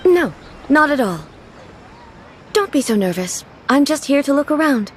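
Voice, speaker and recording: A woman's voice: high voice, sing-song, slight background noise. A woman playing a composed, regal ruler in a video game, speaking formally with earnest curiosity. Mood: calm, reassuring. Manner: formal, measured, earnest, literal-minded.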